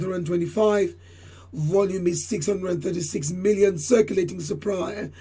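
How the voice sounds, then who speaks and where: A woman hosting a channel and giving a formal market update, her voice deeper than usual as she gets over a cold, repeating key phrases and addressing viewers as ladies and gentlemen. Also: slightly rough. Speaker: channel host giving crypto price updates. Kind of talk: solo market update talk.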